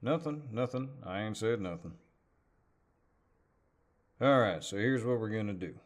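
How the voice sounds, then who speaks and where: A man acts out a handsome cowboy neighbor with a low Southern drawl. He speaks very slowly and hesitantly, polite but gently teasing, as in an intimate audio roleplay. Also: animated. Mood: exasperated, helpful. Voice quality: deep voice. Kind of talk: audio roleplay, intimate one-sided dialogue.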